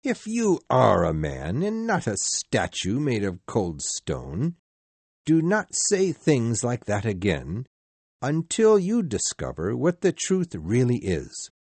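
A man's voice: mid-range voice, huge pitch swings, slow, a little mellow, husky, no room sound, heavily acted. A man voicing a solemn, righteous male ghost who lectures with stern reproach. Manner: reproachful, preaching.

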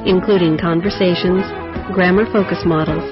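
A woman with a fairly low voice reads a formal introduction to an audio program. Music plays clearly behind her, and the tape sound is a little dull. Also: steady tone, normal speed.

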